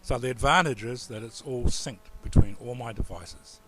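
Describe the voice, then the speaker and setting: A man with a New Zealand accent giving a relaxed, rambling screen-recorded computer tutorial. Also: medium pitch, normal speed, very noisy. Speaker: tutorial presenter.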